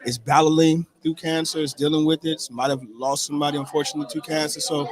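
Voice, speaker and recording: Slightly high-voiced man amid crowd chatter. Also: flat delivery, fast talker, rough recording. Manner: modest, sincere, informal. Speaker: stage actor being interviewed. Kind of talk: casual interview. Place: on location at a theatre after a performance.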